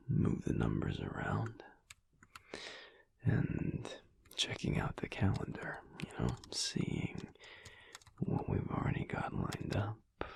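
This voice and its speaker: A man playing a husky, whispering werewolf husband. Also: heavily acted. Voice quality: low, husky, gravelly whispery voice. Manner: slow, drawling, dry teasing humour. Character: alpha werewolf husband, gruff, laid-back, teasing, affectionate. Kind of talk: intimate audio roleplay, soft and conversational. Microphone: close microphone.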